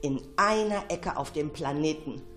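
A woman narrating a children's story in an expressive, dramatic, suspenseful way. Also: low-pitched, moderate pace, over music. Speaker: storyteller, radio show narrator.

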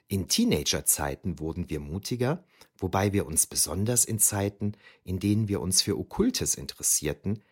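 A man's voice: deep voice, lively intonation, moderate pace, darkish tone, breathy edge. A man playing a reflective ancient historian who narrates memories of youth in a scripted, wryly explanatory way for an audio drama. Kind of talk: scripted first-person narration.

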